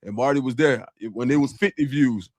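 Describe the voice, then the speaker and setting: A man co-hosting a casual live-stream podcast, reading viewer donations aloud in a relaxed, slangy, grateful way. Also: mid-range voice, brisk. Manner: relaxed and grateful, slangy, calls people dog and bro. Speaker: show host reading super chats.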